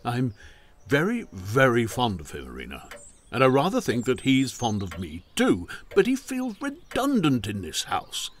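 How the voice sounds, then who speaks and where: Wry, very animated older gentleman. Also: medium pitch, unhurried, a little hiss or hum. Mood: gentle, candid. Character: restless ailing older country gentleman, wry and kindly. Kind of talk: audio drama acting.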